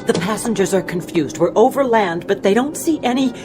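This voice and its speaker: A polite female flight attendant with a mid-pitched voice, played by an actress in a radio drama. She sounds worried and hesitant, with music clearly behind her. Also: lively intonation, fairly quick, lightly acted. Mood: confused, hesitant.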